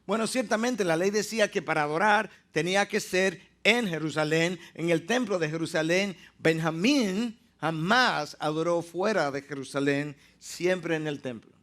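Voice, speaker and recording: A man preaching a sermon, teaching emphatically and slowing down to stress key words. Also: on the high side, a bit bright.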